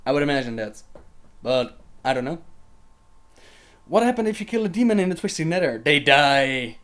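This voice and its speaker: A man's voice: on the high side, very animated, moderate pace, fairly bright tone. A young man hosting a casual livestream Q&A, reading viewer questions aloud and answering them chattily with fillers and laughs.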